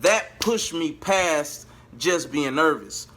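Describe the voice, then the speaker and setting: A man telling a story casually to his viewers, animated and slangy, slipping into the words of people he quotes. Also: on the high side, takes it slowly, a little hiss or hum. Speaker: vlogger and amateur singer.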